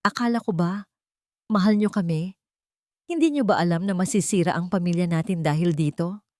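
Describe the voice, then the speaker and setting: A woman's voice: lowish voice, moderate pace, mellow, no room sound, clean studio sound, natural voice. A woman voicing a hurt, resentful grown son pleading with and pressuring his mother in an audio drama.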